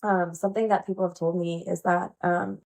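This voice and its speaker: A woman's voice: on the low side, steady tone, a little fast, a little mellow. Bubbly giggly woman, heavy fillers. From United States. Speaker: guest, pole dance instructor and playwright. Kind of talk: casual interview chat.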